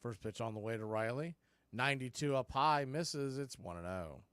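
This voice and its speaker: A man calling baseball play-by-play as a radio announcer, in clipped, folksy phrases that range from quiet to excited. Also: mid-range voice, moderate pace, smooth, no room sound. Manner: swings from hushed calls to excited shouts. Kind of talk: live radio play-by-play commentary.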